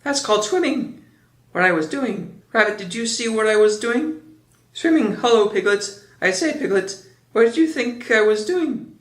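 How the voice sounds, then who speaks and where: A man voicing a tiny, proud young kangaroo boy in a squeaky, excited storybook voice, in a roomy space. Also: fairly quick, hardly any pauses.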